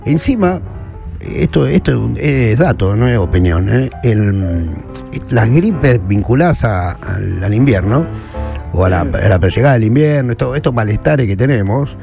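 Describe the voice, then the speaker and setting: A man's voice: mid-range voice, expressive, moderate pace, creaky, music in the background. A man with a Rioplatense Argentine accent chatting casually as a radio host, colloquial and emphatic, repeating himself for stress.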